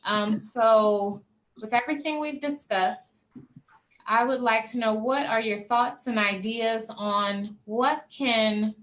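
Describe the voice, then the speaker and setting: A woman hosting a discussion panel, speaking in a measured way with hesitant fillers. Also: fairly high pitch, fairly bright tone, rough recording. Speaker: host.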